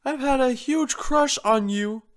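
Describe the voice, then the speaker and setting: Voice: sits high, unhurried, pitched above the performer's own voice. A narrator voicing a cheerful young male dragon in a storybook reading, friendly and enthusiastic.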